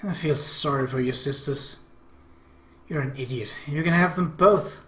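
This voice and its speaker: A man's voice: fairly high pitch, unhurried, fairly bright tone. A man voicing a tactless schoolgirl classmate from a visual novel, blunt and mocking. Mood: blunt, teasing. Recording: echoey room.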